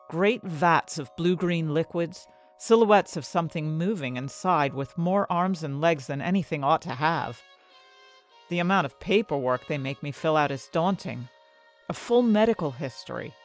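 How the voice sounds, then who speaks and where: A woman acting an anxious, desperate young heroine who tells her own fantasy story in intense, dramatic first-person narration. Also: low-pitched. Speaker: narrator and main character of an audio fiction story.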